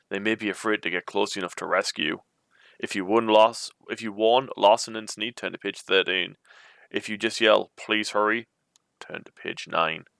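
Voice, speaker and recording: A man's voice: fairly low pitch, gravelly, fairly bright tone, dry recording. A man reading an adventure storybook aloud as its narrator. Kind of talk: reading a choose-your-own-adventure book aloud.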